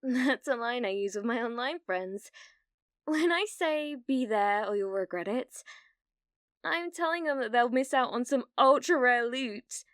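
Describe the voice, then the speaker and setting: High-voiced British gamer girl, acted by a woman. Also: lively intonation, a bit bright, no room sound, studio quality. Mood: flustered, eager. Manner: halting, flustered, breathy laughs and hesitations. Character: needy, obsessive young gamer woman, nervous and awkward. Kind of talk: ASMR roleplay.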